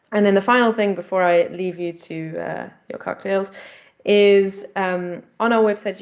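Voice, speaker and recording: A woman with a South African accent gives a conference pitch, walking the audience through her slides. Her voice sits fairly low and has a gravelly, creaky texture. She speaks informally and steadily, with frequent "um" and "kind of". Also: darkish tone. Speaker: presenter at a finance event. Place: event stage.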